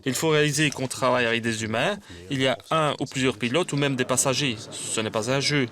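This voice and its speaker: A man's voice: medium pitch, a little fast, fairly bright tone. A man reading a scripted first-person testimonial as a voice-over.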